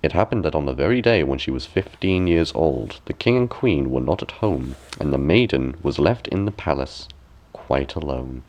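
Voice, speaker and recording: A man narrating a bedtime fairy tale as a storyteller, with expressive, measured and deliberate phrasing. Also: rumbling low, lo-fi sound. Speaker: storyteller, audiobook reader.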